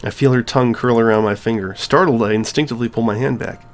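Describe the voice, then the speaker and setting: A man reading a visual novel game aloud in an expressive, wry manner, dropping in joking asides as he plays. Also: medium pitch, fast talker. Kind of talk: narrated playthrough with joking asides.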